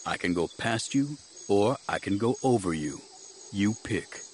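Man doing stern male warrior master, audiobook character, very deep, grim, terse, commanding, controlled. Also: expressive, unhurried, darkish tone, husky. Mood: cold, resolute. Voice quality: low, grim.

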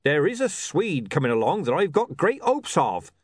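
A man's voice: a little high, very animated, normal speed, breathy edge, dry recording, studio quality, voice pushed up. A man voicing a cheerful, pushy middle-aged man who loves a silly joke, in a storybook reading. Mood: jovial. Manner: hearty, jovial, pushy.

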